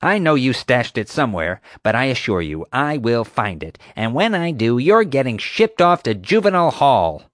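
A man reading an audiobook, voicing a loud, bossy adult woman security guard who barks and growls her commands. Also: medium pitch, very animated, normal speed, hardly any pauses, no echo, studio quality, voice pushed up. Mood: angry, bossy.

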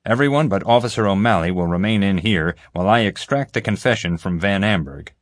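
A man's voice: low voice, expressive, brisk, no echo, clean studio sound. A man voicing a stern, grumbling security chief in an audiobook, curt, formal and commanding. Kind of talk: audiobook character voice.

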